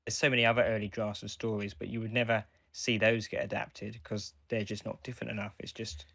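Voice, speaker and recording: A man's voice: on the low side, flat delivery, a little fast, gravelly, sharp tone, no room sound, clean studio sound. A man co-hosting a podcast in casual, conversational discussion. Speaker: podcast co-host.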